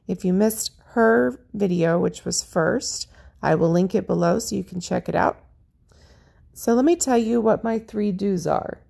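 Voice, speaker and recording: A woman narrating her paint pouring video in a chatty, friendly way, her voice a little raspy. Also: medium pitch, measured pace, mellow. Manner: chatty, friendly, lists colors as she works. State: slightly raspy voice. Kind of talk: casual how-to narration over craft footage.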